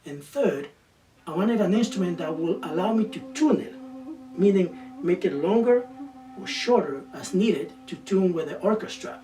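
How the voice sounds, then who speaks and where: A man's voice: high voice, animated, normal speed, crowd noise. A man whose native language is Spanish, a quena player explaining eagerly in a documentary and often restarting words. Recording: distant mic with loud music behind.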